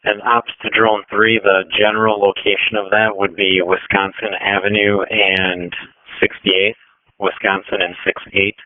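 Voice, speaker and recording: A man coordinating air operations over a police two-way radio, speaking procedurally with halting pauses. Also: on the low side, level tone, no room sound. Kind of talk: radio dispatch traffic. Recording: narrowband, dull police radio transmission.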